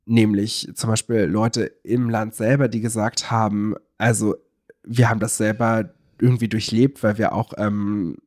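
A man's voice: lowish voice, flat delivery, normal speed, darkish tone, dry recording, clean studio sound. A man co-hosting a casual podcast, explaining things in a searching way and often correcting himself. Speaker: podcast co-host.

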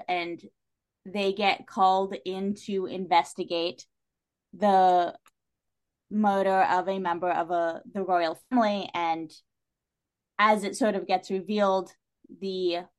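Woman casually retelling a story. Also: mid-range voice. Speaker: podcast guest. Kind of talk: casual spoken plot synopsis.

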